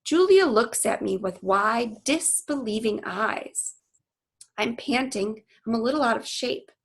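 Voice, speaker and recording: An earnest, anguished gorilla tells his own storybook tale, read by a woman in short dramatic phrases. The voice is husky with a creaky edge, and it moves slowly. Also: fairly high pitch, lively intonation, exaggerated voice. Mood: weary anger.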